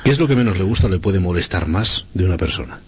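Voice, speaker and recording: Male interviewer, husky voice, quick, attentive, prompting. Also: deep voice, animated, darkish tone. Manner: quick questions, frequent affirming "ujú". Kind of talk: interview conversation.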